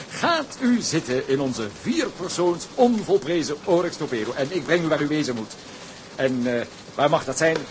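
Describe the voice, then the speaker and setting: An obsequious car salesman from a period drama. His rather high voice swoops up and down through flowery, deferential sales patter. There is clear background noise around him. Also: big pitch swings, normal speed. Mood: brisk, flattering. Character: eager, obsequious, smooth-talking male car salesman.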